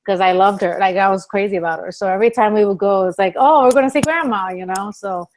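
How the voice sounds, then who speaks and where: A woman's voice: medium pitch, quick pace, darkish tone, slight background noise. A woman from the Bronx and Puerto Rico chatting casually and giggly as an interview guest. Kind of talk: casual chat.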